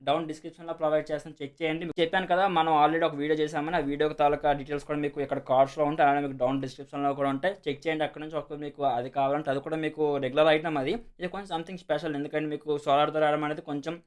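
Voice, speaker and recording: Very fast-talking Telugu man, clear voice. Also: a little high, little pitch movement. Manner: repetitive, peppers speech with English words. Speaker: host of a product unboxing and review video. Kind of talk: casual explanatory product demonstration.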